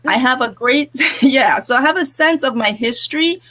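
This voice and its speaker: A woman's voice: sits high, moderate pace, bright, forceful tone. A woman business coach who was born in England and grew up in Jamaica and New Jersey, giving earnest advice in a live interview. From born in England, raised in Jamaica and New Jersey. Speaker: guest, business coach and author.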